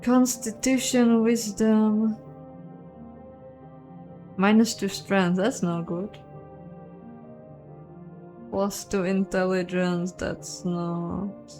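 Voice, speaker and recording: A woman's voice: mid-range voice, steady tone, extremely slow, long pauses, darkish tone, faint music behind. A woman giving casual, playful let's play commentary, chatting and thinking aloud as she goes. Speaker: host of a game playthrough.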